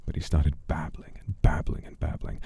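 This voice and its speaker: A man telling a dramatic first-person story as a streamer, in a theatrical, wry and unhurried manner. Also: very deep voice, completely flat delivery, dark tone, slightly rough, no room sound.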